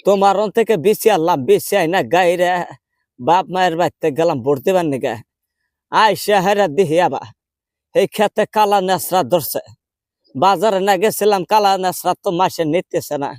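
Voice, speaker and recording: Man putting on a high-pitched quarrelsome village granny. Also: normal speed, a little mellow, no room sound, studio quality, natural voice. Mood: complaining. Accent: rural Bangladeshi dialect. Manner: insistent, complaining, argumentative. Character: quarrelsome old village grandmother, poor, complaining and haggling. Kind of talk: comic prank phone call.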